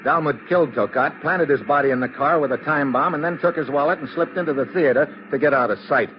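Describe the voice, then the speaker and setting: An American actor in a 1950s radio drama playing a tough, wry government investigator with clipped speech. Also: male voice, a little high, quick pace, natural voice. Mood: cool explaining. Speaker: lead actor in a detective radio serial. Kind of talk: 1950s radio drama acting, hard-boiled narration and dialogue. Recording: noisy, muffled old radio recording.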